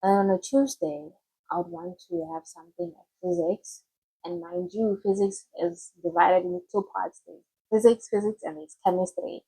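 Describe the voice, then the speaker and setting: A woman's voice: lowish voice, lively intonation, moderate pace, vocal fry, dark tone, slightly rough, low-quality recording. A young woman giving casual study-planning tips to camera, chatty and loose, often restarting her sentences. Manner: chatty, loose and self-correcting, with frequent restarts. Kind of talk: casual explanatory tutorial.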